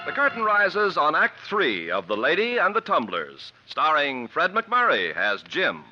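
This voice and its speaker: A man's voice: fairly high pitch, wildly swooping pitch, a little slow, loud music behind the voice. A man delivering formal, declamatory scripted announcements as a 1950s radio announcer. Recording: dull vintage 1950s radio recording.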